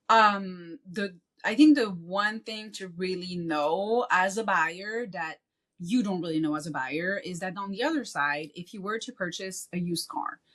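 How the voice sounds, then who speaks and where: A woman's voice: lowish voice, fairly bright tone. A woman from Belgium, a former car salesperson, talking casually as a podcast guest and often checking in with "you know" and "right?".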